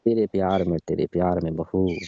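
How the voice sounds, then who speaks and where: Guy playing pushy horny old father-in-law on a comedy phone call, deep mellow voice, kinda muffled lofi sound. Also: steady tone, brisk, a little hiss or hum. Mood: insistent, amorous. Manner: coaxing, insistent. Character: lecherous, pleading widowed older father-in-law. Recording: dull lo-fi phone-call style audio.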